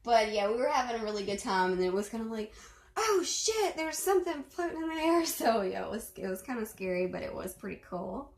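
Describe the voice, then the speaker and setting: A woman's voice: fairly high pitch, sing-song, brisk. Chatty young woman in a roomy room. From Arkansas. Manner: chatty, many fillers, clears throat. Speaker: video host responding to a reading. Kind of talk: casual chat. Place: bedroom at home. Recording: roomy echo.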